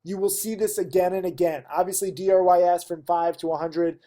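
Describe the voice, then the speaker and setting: Stuffy man with a dark voice. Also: high-pitched, fairly even intonation, brisk. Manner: emphatic, pleading. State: stuffy, losing his voice. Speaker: trading teacher. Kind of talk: direct-to-camera lesson.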